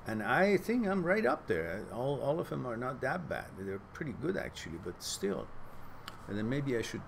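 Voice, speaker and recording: A man with a mid-range voice talks through viewer questions in an unhurried, earnest way, pausing and filling gaps as he thinks. A faint hiss of background noise sits under his voice. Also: mid-range voice, very animated, gravelly. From Iran. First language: Farsi. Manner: reflective, earnest, loose pauses and fillers, laughs easily. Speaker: host reading live chat questions. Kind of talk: casual live-stream chat and advice.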